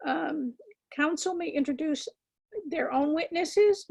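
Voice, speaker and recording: A woman with a scratchy voice giving a measured, emphatic legal lecture to attorneys, with frequent ums and words repeated for stress. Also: high-pitched, gravelly. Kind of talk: continuing-education lecture.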